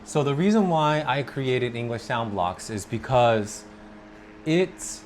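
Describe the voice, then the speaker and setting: A man, from Korea, talking slowly and thoughtfully about his work as an English teacher in a personal vlog. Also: mid-range voice, lively intonation, slight background noise. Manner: slow, thoughtful, with pauses and fillers.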